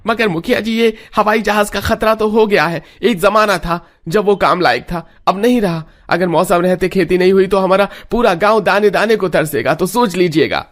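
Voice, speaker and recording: A man's voice: high-pitched, moderate pace, hardly any pauses, lightly acted. A man playing a brash, breezy young village farmer in a cartoon story, talking in an emphatic colloquial way. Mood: insistent.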